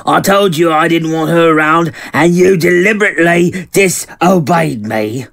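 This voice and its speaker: An actor playing a volatile, menacing small-time thug and abusive boyfriend in an audio drama, with a rough, bullying, slangy way of talking. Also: male voice, on the high side, moderate pace, fairly bright tone, no room sound. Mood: menacing anger.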